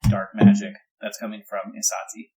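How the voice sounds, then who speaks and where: A man's voice: fairly low pitch, completely flat delivery, normal speed, mellow. A man improvising description as game master in a tabletop game.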